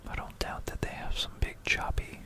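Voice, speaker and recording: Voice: normal speed, sharp tone. An ASMR narrator from Florida with an American accent whispers a musing, explanatory history talk close to a stereo microphone. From Florida, United States. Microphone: close stereo binaural microphone.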